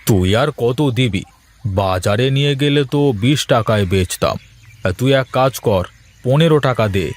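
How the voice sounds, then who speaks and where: A man plays a lazy villager from a folk-tale cartoon. He drawls very slowly at a middling pitch, grumbling and teasing with plenty of little interjections. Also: expressive. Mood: haggling.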